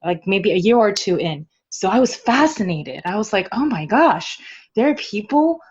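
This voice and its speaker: Female podcast guest, thirties, medium pitch, lively self-deprecating storyteller, slightly dulled audio. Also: moderate pace, breathy edge, lo-fi sound. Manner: animated, self-joking, laughs often. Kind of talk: casual chat, personal storytelling.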